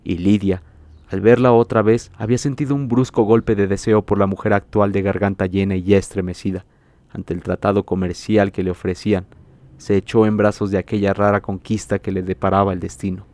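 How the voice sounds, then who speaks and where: Low-voiced man narrating an audiobook. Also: flat delivery, a little mellow, no echo. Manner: measured, literary storytelling.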